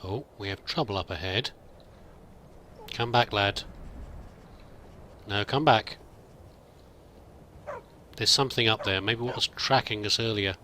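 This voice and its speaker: A man's voice: fairly low pitch, unhurried, long pauses, bright, forceful tone, a little hiss or hum. A man giving in-character let's play commentary, musing aloud and muttering to himself as he goes. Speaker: host of a roleplay let's play.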